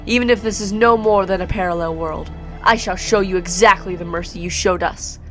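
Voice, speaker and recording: A woman's voice: lowish voice, fairly quick, faint music behind, lightly acted. Woman reading as a mad, vengeful villain sorcerer. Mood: bitter, vengeful. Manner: theatrical, formal monologue.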